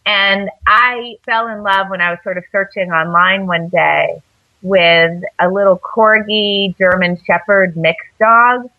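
A woman's voice: mid-range voice, fairly even intonation, measured pace, no room sound, muffled recording. A woman guest on a radio interview show, telling stories casually, rambling with lots of fillers. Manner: rambling, many fillers and restarts. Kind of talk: casual storytelling.

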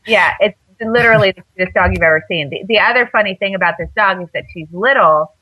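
A woman's voice: medium pitch, fast, breathy edge, dull audio. A woman guest on a radio interview show, telling stories casually, rambling with lots of fillers. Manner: rambling, many fillers and restarts. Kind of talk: casual storytelling.

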